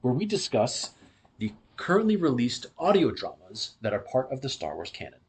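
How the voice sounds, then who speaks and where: A man's voice: mid-range voice, huge pitch swings, normal speed, husky. A man hosting a podcast, explaining things at length in a conversational discussion.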